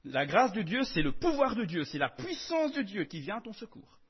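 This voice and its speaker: A man preaching a sermon in French, emphatic, swinging between loud declarations and soft asides. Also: high-pitched, very animated, normal speed, slightly rough, lo-fi sound.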